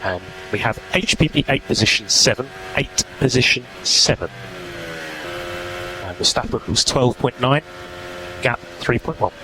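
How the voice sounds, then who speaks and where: A man's voice: mid-range voice, lively intonation, unhurried. A man working as a race engineer, giving terse, matter-of-fact technical instructions and numbers over team radio. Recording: noisy team radio, engine and car noise.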